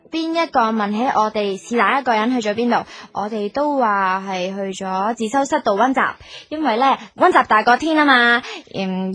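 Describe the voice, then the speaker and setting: A woman playing a calm young elder sister giving advice in a radio comedy drama, with a Hong Kong Cantonese accent. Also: fairly high pitch.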